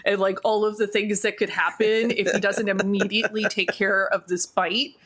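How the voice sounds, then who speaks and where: A woman's voice: mid-range voice, little pitch movement, normal speed, a bit bright. A woman playing a tabletop game, chatting casually and hesitantly as she thinks aloud. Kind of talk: casual actual-play chat.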